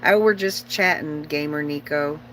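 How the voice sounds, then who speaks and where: A woman's voice: deep voice, normal speed, a bit bright. Blunt middle-aged woman, humming background noise. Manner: opinionated, blunt, repeats herself for emphasis. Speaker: livestream host chatting with viewers. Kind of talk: casual rambling livestream chat.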